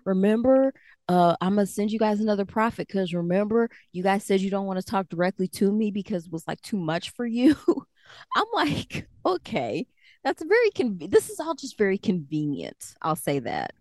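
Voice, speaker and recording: A woman's voice: mid-range voice, big pitch swings, normal speed, darkish tone, no echo, studio quality. A woman with an American accent, speaking as a podcast co-host in an informal, wry way. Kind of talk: casual conversation and scripture reading.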